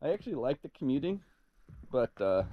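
Relaxed man, slightly hoarse, vlogging outdoors. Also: mid-range voice, fairly quick, phone-quality sound. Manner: relaxed, upbeat, many fillers. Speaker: sawmill owner vlogging his workday. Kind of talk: casual outdoor vlog. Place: outdoors on a boat and dock by a calm bay. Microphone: action camera held at arm's length.

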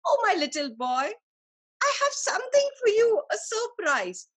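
A woman's voice: extremely high pitch, very animated, unhurried, fairly bright tone, voice pushed up, heavily acted. A woman voicing a loving old female apple tree over a video call, speaking tenderly. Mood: tender, pleading. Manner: tender, pleading.

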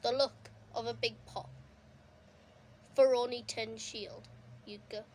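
A young woman giving casual, excitable commentary while playing a console game, her voice going a bit hoarse. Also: fairly high pitch, slow pace, slight background noise, low-quality recording. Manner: chatty, excitable, reacting to the game. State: losing her voice. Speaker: gaming streamer. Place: indoors at a console. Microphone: phone filming a TV screen.

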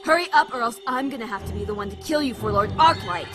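A woman voices a bossy, menacing anime fighter girl. Her high voice moves up and down a lot as she commands, with loud music behind. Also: animated, fast, natural voice. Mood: urgent. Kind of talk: fan parody anime dub.

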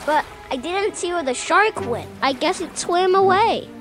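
A performer voicing a gentle, easily worried little boy in a children's cartoon, with an earnest, expressive delivery. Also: extremely high pitch, moderate pace. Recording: clear music behind the voice.

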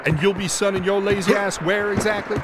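Man playing high-pitched cocky American cop. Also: fairly even intonation, brisk, over music, crowd noise, lightly acted. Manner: sarcastic, brash banter. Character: cocky wisecracking male Miami cop in a gunfight. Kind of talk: video game voice acting.

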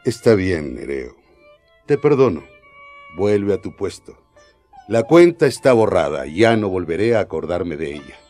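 Imperious, animated king. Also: sits low, unhurried, soft background music. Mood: forgiving. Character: imperious, booming but merciful king. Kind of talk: radio drama acting.

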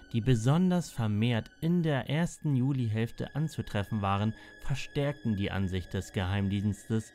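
A man's voice: medium pitch, darkish tone. A man reading scripted documentary narration as a narrator. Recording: clearly noisy background.

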